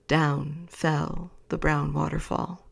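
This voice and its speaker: A young woman reading a bedtime story aloud in a soft, half-whispered voice. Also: sits low, little pitch movement, slow pace, gravelly, husky.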